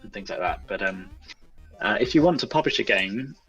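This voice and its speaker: A man's voice: on the low side, expressive, normal speed, fairly bright tone, breathy edge, dull audio, soft background music. A man speaking as a game developer guest, explaining things step by step in an informal, understated interview style. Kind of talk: casual explanatory interview chat.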